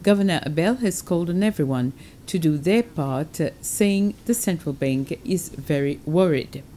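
Casting: Seychellois woman news anchor, lowish mellow voice, formal steady read, faint noise. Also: moderate pace. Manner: steady formal delivery with a few fillers. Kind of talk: news reading. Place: TV studio.

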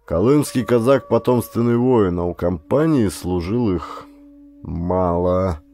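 A stern, aging governor of a frontier colony, acted by a male narrator. He speaks in commanding, old-fashioned officialese with animated pitch. He is irritable yet shrewd, made for an adventure audiobook. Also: slow, soft background music, full character voice. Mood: stern deliberation.

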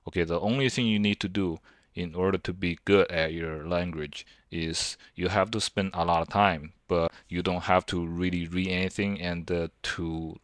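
Young Chinese-accented man, very low voice. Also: steady tone, moderate pace, no room sound. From China. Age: 20s. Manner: rambling, self-deprecating, frequent fillers and restarts. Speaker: vlogger. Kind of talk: casual talk to camera over gameplay.

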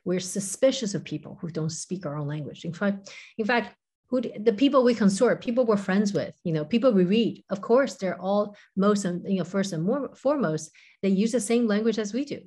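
A woman's voice: mid-range voice, expressive, fairly quick, warm tone, slightly rough. A woman in her forties, a professor and author, explaining ideas thoughtfully as a guest over a video call, often hedging with "kind of" and "you know". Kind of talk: interview conversation. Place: home room with windows.

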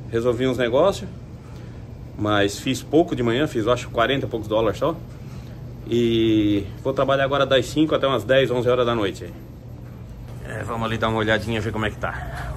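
A man with a Brazilian Portuguese accent talks casually in a mid-pitched voice, narrating what he is doing. His phrasing is informal and full of diminutives. Clear laundromat noise runs behind him. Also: a little fast. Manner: informal, colloquial, fond of diminutives. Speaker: vlogger. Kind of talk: casual vlog chat, narrating chores to viewers. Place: inside a self-service laundromat. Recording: noisy laundromat ambience.

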